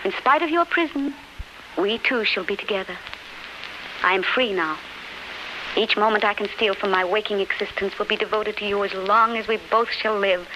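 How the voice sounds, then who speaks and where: A woman's voice: mid-range voice, bright, forceful tone, a little hiss or hum, close to an everyday voice, poor audio. An actress playing a devoted, consoling woman in love, earnest and soothing, in 1930s radio drama style. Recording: 1930s radio broadcast with music.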